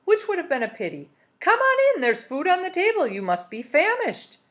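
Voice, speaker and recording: A woman's voice: extremely high pitch, huge pitch swings, moderate pace, voice pushed up, exaggerated voice. A woman reading a storybook aloud as a very old, welcoming, slightly mysterious woman with a melodious way of speaking. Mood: welcoming, exclaiming. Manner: welcoming, gently coaxing.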